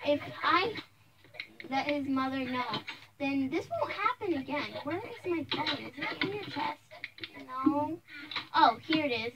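High-pitched, indignant protective mother, acted by a woman. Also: highs cut off, heavy background noise, exaggerated voice. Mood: determined. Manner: scolding, halting, emphatic. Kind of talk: improvised amateur role-play.

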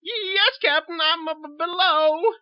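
A meek elderly spinster frets and stammers in a very high, fluttering voice, played by a man in falsetto. She pleads more than she speaks. The sound is dull and lo-fi. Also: sing-song, unhurried, gravelly, full character voice. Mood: timid. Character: timid old spinster woman, fretful and meek.

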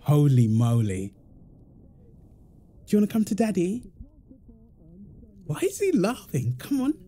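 Male actor as doting young husband and dad for ASMR roleplay; very mellow, playful, unhurried delivery with lively pitch swings. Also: full character voice. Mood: excited, tender. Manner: affectionate, teasing, chuckling. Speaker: audio roleplay performer. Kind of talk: wholesome ASMR-style audio roleplay.

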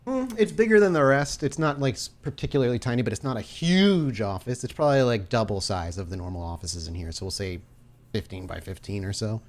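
A man's voice: fairly low pitch, expressive, a little fast. A man working as game master, narrating and talking rules in a conversational, playful way. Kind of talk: tabletop roleplay narration and rules talk.

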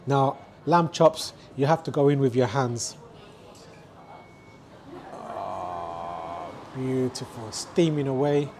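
A man's voice: medium pitch, animated, slow pace, pauses often, a little mellow. A man, presenting a casual food vlog on a lapel mic in a restaurant kitchen, enthusiastic and talking straight to camera. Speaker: food vlogger. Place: restaurant kitchen and dining room.

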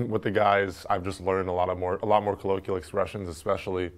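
A young man who grew up in Turkey, a basketball player, answering interview questions in a relaxed, chatty way with lots of fillers, recorded on a lapel mic indoors. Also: sits low, fairly quick. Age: young adult. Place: indoor room with wood-panel wall.

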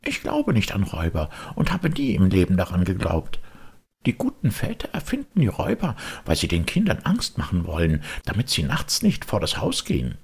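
A man's voice: sits low, huge pitch swings, moderate pace, gravelly, darkish tone, husky, heavily acted. A man voicing a stubborn wooden puppet boy, cocky, defiant and grumbling, in a storybook reading. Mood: boastful grumbling.

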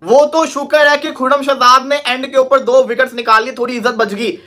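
A man's voice: extremely high pitch, fairly even intonation, fairly quick, bright, forceful tone. A man from Pakistan giving scornful cricket analysis and asking rhetorical questions. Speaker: cricket commentator. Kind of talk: cricket analysis talk show.

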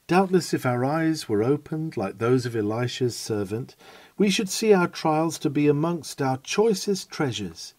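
A man's voice: on the high side, mellow, no echo. A man reading an old sermon aloud with solemn, rising and falling emphasis. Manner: rises and falls for emphasis, solemn pauses. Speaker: reader of a historic sermon.

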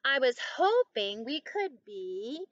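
Storybook role: friendly male wolf, played by a woman, high-pitched, courteous, eager to please, lively delivery. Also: slow pace, no room sound, highs cut off, heavily acted. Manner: polite, cheerful, eager.

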